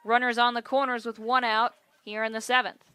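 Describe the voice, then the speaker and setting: High-voiced woman calling live sports. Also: little pitch movement, brisk, bright, forceful tone, no room sound. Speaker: play-by-play sports announcer. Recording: clear gymnasium and ballpark ambient noise.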